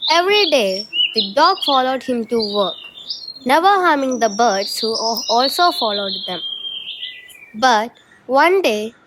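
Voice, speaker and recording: A female student storyteller with a fairly high voice. She reads a scripted tale as the narrator of a school production. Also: lively intonation, measured pace, noisy background.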